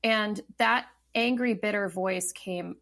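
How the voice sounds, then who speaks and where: Thirties woman author guest, heavy vocal fry, mid pitch, thinks aloud. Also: slow, fairly bright tone. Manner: thoughtful, many fillers and restarts. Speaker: podcast guest, novelist. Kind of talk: casual interview talk.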